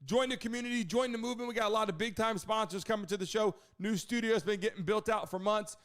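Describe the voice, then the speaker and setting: A man's voice: sits high, fast, a bit bright. A man hosting a livestream in a casual, promotional way, addressing his viewers directly. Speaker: livestream show host.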